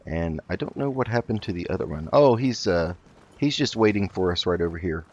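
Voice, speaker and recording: Low-voiced man, slightly muffled gameplay commentary. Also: animated, a little fast, a little mellow. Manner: hesitant, thinking aloud. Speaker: host of a video game roleplay let's play.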